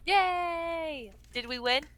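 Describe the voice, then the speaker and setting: A woman's voice: extremely high pitch, very slow, a bit bright. A woman in her twenties chatting casually with friends on a group livestream. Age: 20s. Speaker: livestream panelist.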